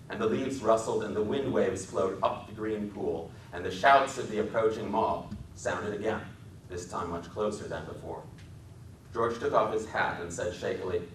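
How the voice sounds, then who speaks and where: An American man narrating a literary story as a dramatic reading. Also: fairly low pitch. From Wisconsin, United States. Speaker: author and dramatic reader. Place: public library event room. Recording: echoing hall, distant mic, faint noise.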